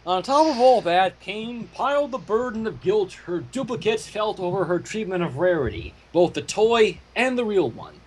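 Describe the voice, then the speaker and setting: A man reading a fan fiction story aloud as a dramatic, theatrical narration with deliberate delivery. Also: high-pitched, animated, slightly rough, poor audio.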